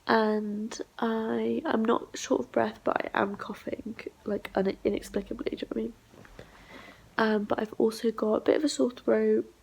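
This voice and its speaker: Young woman, congested and unwell, home diary vlog; slightly high voice with heavy vocal fry. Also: flat delivery, moderate pace, a little mellow, low-quality recording. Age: young adult. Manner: rambling, half-whispered, low-energy talk. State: sick, congested and hoarse. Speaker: vlogger. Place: flat, indoors.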